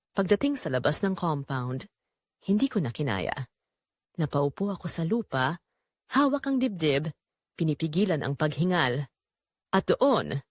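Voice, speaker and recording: Female narrator for romance audio drama: intimate, suspenseful phrasing, lively swings in pitch, dry recording. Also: no room sound.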